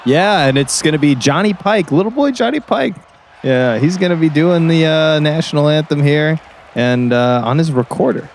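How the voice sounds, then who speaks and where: A man's voice: a little high, animated, moderate pace. A man playing a folksy, easygoing small-town radio colour commentator, chatty and hesitant in a comedy broadcast. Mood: folksy, pleased. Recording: faint gym noise behind. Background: faint gym noise.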